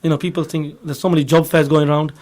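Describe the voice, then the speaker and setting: Fast-talking man, slightly high voice. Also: flat delivery, a little mellow. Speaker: recruiter, radio guest. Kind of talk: promotional radio interview. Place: radio studio.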